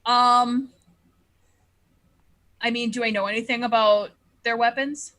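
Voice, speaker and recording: A woman's voice: on the high side, fairly even intonation, a little slow, a bit bright. A woman chatting casually as a player in a tabletop roleplaying game.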